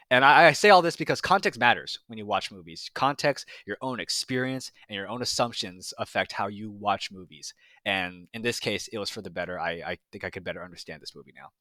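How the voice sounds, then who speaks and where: A young man giving a casual, reflective film review, often pausing with fillers and restarting his sentences. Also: lowish voice, sharp tone, no room sound. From United States.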